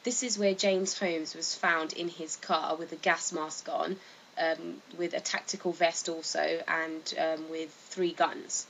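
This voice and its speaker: Female narrator, low register, hesitant informal explainer, slightly noisy recording. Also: level tone, measured pace, vocal fry, fairly bright tone. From United Kingdom. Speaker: video narrator. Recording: faint background noise.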